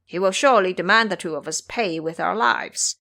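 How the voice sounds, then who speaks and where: A woman's voice: fairly low pitch, fairly quick, vocal fry, bright, forceful tone. Woman playing a grumbling, chattering pig disciple. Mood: complaining. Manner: chattering, complaining.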